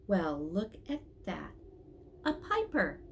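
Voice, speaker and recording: A woman's voice: lowish voice, huge pitch swings, takes it slowly, creaky, a little hiss or hum, full character voice. A woman reading the part of a puzzled, practical mother in a storybook. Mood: curious.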